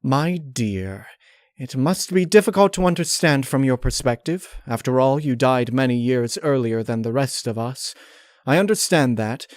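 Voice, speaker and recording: A man voicing a refined, vengeful female pony in a dark storybook reading, with formal, condescending, theatrical diction. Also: medium pitch, no room sound, clean studio sound, voice pushed up, lightly acted. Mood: chillingly polite. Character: refined vengeful female pony, chillingly polite, unhinged underneath.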